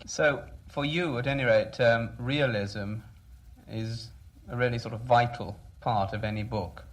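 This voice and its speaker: A man's voice: medium pitch, a little slow. A man interviewing someone in conversation, phrasing his questions tentatively and in a roundabout way.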